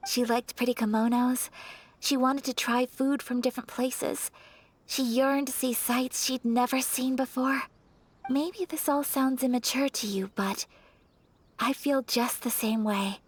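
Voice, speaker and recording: A woman playing a refined young noblewoman in a video game, gentle and earnest, speaking softly in formal, measured phrases. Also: high voice, steady tone, husky. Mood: wistful. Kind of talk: video game character acting. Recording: soft music behind the voice.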